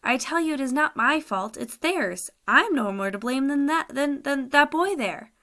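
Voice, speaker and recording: A woman's voice: medium pitch, animated, moderate pace, a bit bright. A desperate young soldier begs earnestly, his voice rising and urgent, as a woman reader puts him on. He sounds lonely and frightened, pleading in a storybook reading. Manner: pleading, emotional.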